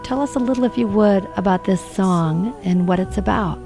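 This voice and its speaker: Female interviewer with a fry-tinged voice, easy conversational tone. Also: medium pitch, moderate pace, dark tone, soft background music. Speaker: radio host. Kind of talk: radio interview.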